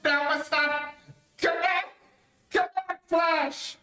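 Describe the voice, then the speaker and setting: A woman's voice: high voice, fairly even intonation, slow, pushed and bright, full character voice. A woman playing a proud, loyal, warlike prince of lion men in an old-time radio adventure, speaking in declamatory archaic phrases. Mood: urgent, noble. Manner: declamatory, archaic formal diction. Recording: echoey, distant streamed stage audio.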